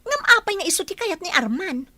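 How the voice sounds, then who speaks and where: A woman's voice: very high-pitched, big pitch swings, brisk, bright, forceful tone, full character voice. A woman acting a jealous, spiteful, boastful young village woman in an Ilocano radio comedy drama, with an Ilocano accent and a catty, dramatic delivery. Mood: bitter, jealous.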